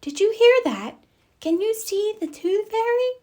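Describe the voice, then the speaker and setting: A woman voicing a curious, excitable little girl pig in a storybook, with a childlike, eager delivery. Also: very high-pitched, unhurried, voice pushed up. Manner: eager, exclaiming.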